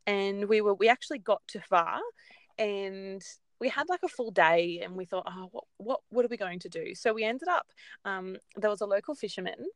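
A woman's voice: mid-range voice, dry recording. A woman in her thirties with an Australian accent, chatting casually and telling stories with lots of ums. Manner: chatty, animated storytelling with frequent ums. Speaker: guest. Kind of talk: casual chat.

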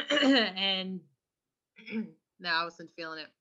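A young woman from California, a podcast co-host, chatting casually and slangily while she keeps clearing her throat. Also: medium pitch, lively intonation, takes it slowly, bright, forceful tone. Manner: chatty, slangy, swears freely. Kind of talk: casual chat. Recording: muffled lo-fi recording.

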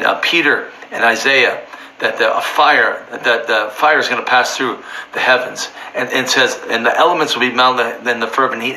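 Fervent, slightly hoarse male Bible teacher. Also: medium pitch, slight background noise, poor audio. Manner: fervent, rising to near-shouting emphasis.

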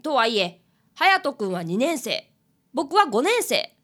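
A woman's voice: high voice, sing-song, slow pace, a bit bright, heavily acted. A woman playing an earnest, polite fifth-grade boy apprentice detective in a radio drama, easily flustered. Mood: dismayed, explaining. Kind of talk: radio drama acting with first-person narration.

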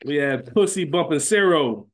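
A man's voice: a little high, lively intonation, moderate pace. A man chatting casually as a podcast co-host, explaining things in an informal, slangy way. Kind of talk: casual chat, fantasy draft banter.

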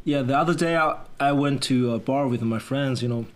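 Young man, Chinese accent, non-native English, mid pitch, hesitant restarts, casual chat. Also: fairly quick. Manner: hesitant, frequent restarts while searching for words. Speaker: guest. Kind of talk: casual conversation.

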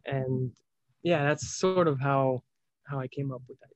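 A man's voice: medium pitch, fairly even intonation, a little slow, a little mellow, no room sound. A young man from Spain, a startup founder speaking as an interview guest, hesitant and reflective, often restarting his phrases. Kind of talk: casual interview talk. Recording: dull lo-fi sound.

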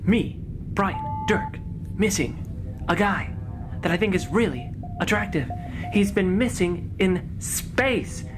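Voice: mid-range voice, wildly swooping pitch, slow, over music. A performer voicing a melodramatic, self-important space captain in an improvised radio parody, overwrought and repetitive. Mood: pleading, pompous.

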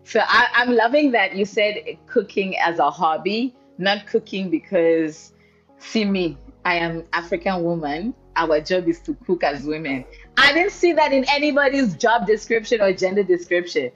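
A woman from the Democratic Republic of the Congo co-hosting a podcast, introducing her guest in a playful, expressive way with slangy asides. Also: mid-range voice, normal speed, faint music behind. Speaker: podcast co-host. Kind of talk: casual podcast interview. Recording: dull lo-fi sound.

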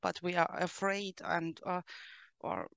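A woman from Austria with an Austrian German accent, answering interview questions slowly and hesitantly, with frequent fillers, explaining her coaching work. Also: on the low side, little pitch movement, gravelly, fairly bright tone, dry recording. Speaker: guest, coach who helps children and adults.

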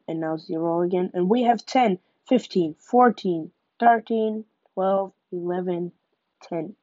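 Young woman w/ a stuffy cold, talks slow and mellow narrating her game, dull lo-fi mic. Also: on the low side, no room sound. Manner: chatty, narrates each move. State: nasal from a cold. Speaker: gaming podcaster. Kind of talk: live gameplay commentary.

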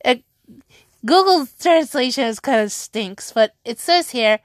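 A woman talking casually to her viewers in a slightly high voice. She speaks slowly, rambles and keeps correcting herself. The sound is dry and close. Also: animated, no echo. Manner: rambling, chatty, self-correcting.

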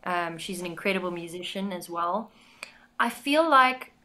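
A woman singer in a band with a high voice, talking quickly and eagerly in a casual interview. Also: animated, pushed and bright.

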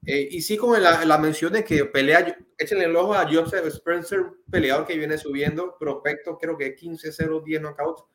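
A man from Panama chats about the fights in a rambling, easygoing way and often restarts his sentences. His voice sits a bit high for a man. The room is echoey, with a faint hiss of noise behind him. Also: normal speed, few pauses, a bit bright. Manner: chatty, rambling, frequent restarts. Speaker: boxing podcast host. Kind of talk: casual sports chat.

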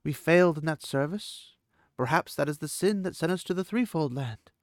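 A man's voice: a little high, lively intonation, normal speed, dry recording, studio quality, voice pushed up, lightly acted. A man voicing a proud, formal young female desert warrior with solemn, stiffly formal speech.

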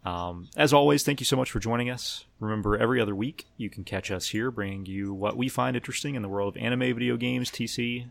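A man hosting a casual podcast discussion, talking in a somewhat halting, hesitant way. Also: mid-range voice, gravelly. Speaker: podcast host.

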